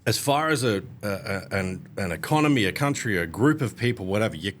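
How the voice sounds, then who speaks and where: A man's voice: fairly low pitch, lively intonation, fairly quick, slight background noise. A man hosting a casual podcast conversation, blunt and swearing casually. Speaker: podcast host.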